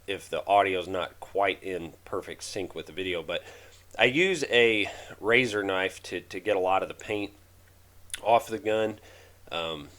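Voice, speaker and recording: A man in his thirties, a bit hoarse from a head cold, narrating his own project video in a halting, casual way with many fillers. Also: low-pitched, a bit bright. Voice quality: raspy. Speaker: DIY channel host.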